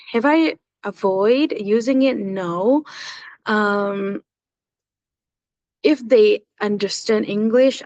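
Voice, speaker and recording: A young woman from Burma, speaking English as a later language, telling her own story in a halting way with many pauses and fillers. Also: medium pitch, gravelly, darkish tone, no echo, dull audio. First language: Zaniat. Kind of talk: personal testimony, recorded talk.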